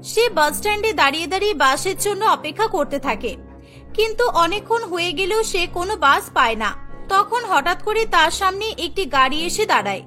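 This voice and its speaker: Very high, bright woman storyteller. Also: lively intonation, a little fast. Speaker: story narrator. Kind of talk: scripted cartoon storytelling narration. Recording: voice over background music.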